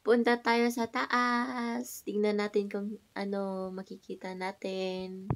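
A woman's voice: mid-range voice, flat delivery, unhurried. A young adult woman with a Filipino accent, giving a casual walking-tour vlog outdoors. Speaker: vlogger. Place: outdoors, walking around temple grounds and stairways.

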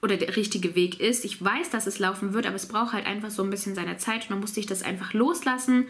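A woman's voice: mid-range voice, quick pace. A woman in her thirties with a cold, chatting casually to camera from a small home office as she answers viewers' questions. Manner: relaxed, chatty, laughs easily, reads out questions. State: has a cold.

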